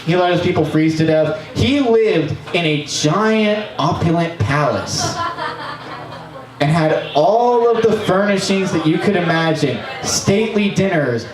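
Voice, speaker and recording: A man in his twenties doing a profane, animated comic history monologue at an open mic in a small bar, sometimes breaking into shouts. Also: high-pitched, measured pace, a crowd in the background. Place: small bar stage, indoors. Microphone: stand mic, distant camera. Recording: roomy bar, camera far off.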